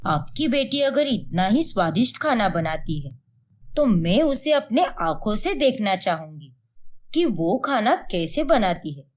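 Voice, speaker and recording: A woman voicing a wealthy, traditional prospective mother-in-law in an animated story, polite, measured and exacting. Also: medium pitch. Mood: firm, exacting. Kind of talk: acted animated moral story.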